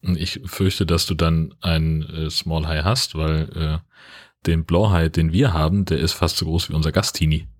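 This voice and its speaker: Deep, husky man, wry podcast co-host. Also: fairly even intonation, a little slow. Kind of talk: casual podcast chat.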